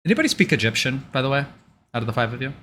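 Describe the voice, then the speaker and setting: A man's voice: medium pitch, very animated, racing, a bit bright, a little hiss or hum. A man narrating a tabletop game as game master in a loose, informal, improvised way.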